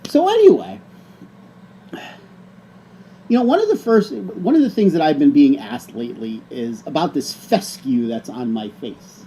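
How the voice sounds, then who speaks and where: A man's voice: a little high, very animated, normal speed, pauses often, warm tone, slightly rough, poor audio. A middle-aged man co-hosting a casual chat show, joking and teasing. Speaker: co-host.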